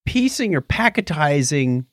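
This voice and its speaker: A man's voice: on the high side, big pitch swings, unhurried, fairly bright tone, dry recording, clean studio sound. A middle-aged man co-hosting a podcast, explaining and retelling things in casual tech chat. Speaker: podcast co-host.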